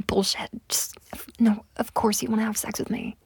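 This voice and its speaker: A woman's voice: mid-range voice, flat delivery, normal speed, a little mellow, no echo. A young woman voicing a pushy, entitled woman on a date in a one-sided audio roleplay, talking in a close, whispery way with lots of swearing. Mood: angry. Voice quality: husky, breathy, gravelly. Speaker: voice actor.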